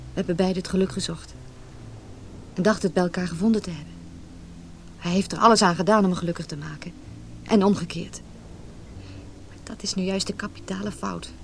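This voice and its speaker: A woman's voice: lowish voice, fairly even intonation, fairly quick, vocal fry, pauses often. An actress in a Dutch radio drama playing a vulnerable, earnest married woman torn by forbidden love. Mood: anxious, confessing. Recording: noisy radio play recording.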